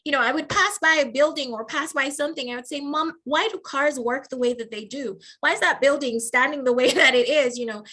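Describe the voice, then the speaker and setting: Bright-voiced Nigerian woman telling stories. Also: high voice, fairly quick, few pauses. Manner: warm storytelling with frequent laughs. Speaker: guest, faculty member. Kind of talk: interview over video call. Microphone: video call.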